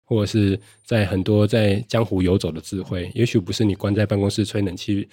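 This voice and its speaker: Man, Taiwanese Mandarin accent, mellow low voice, earnest wordy explanations, interview guest. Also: steady tone, fairly quick, husky, no echo, clean studio sound. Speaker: guest judge.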